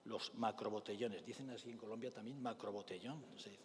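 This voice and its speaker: A man with a Spanish accent, a clinician giving a forum talk in a measured, thoughtful way, often pausing with hesitation fillers. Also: lowish voice, big-room sound, slight background noise. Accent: Spain. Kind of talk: forum lecture with storytelling.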